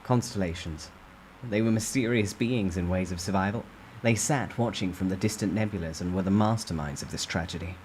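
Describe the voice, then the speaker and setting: A man's voice: low-pitched, brisk, darkish tone, slight background noise, low-quality recording. A man reading a first-person story aloud as a dramatic storytime narration.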